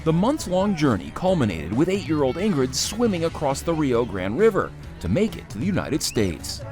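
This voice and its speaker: A woman reporter delivering scripted news feature narration in a smooth, measured way. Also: low voice, sing-song. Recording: music bed underneath.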